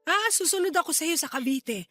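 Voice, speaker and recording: A woman playing a caring, gentle aunt in a radio drama, with an affectionate, reassuring manner. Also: very high-pitched, lively intonation, moderate pace, no echo, soft background music, full character voice. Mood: tender, reassuring. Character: caring, gentle aunt and small online seller. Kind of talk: Tagalog radio drama acting.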